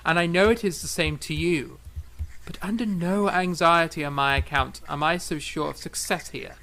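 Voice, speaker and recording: A man's voice: on the high side, moderate pace, sharp tone, a little hiss or hum, low-quality recording. A man playing a proud, fiery young eighteenth-century composer in a period audio drama, speaking formally and emphatically with theatrical flair. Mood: earnest, proud.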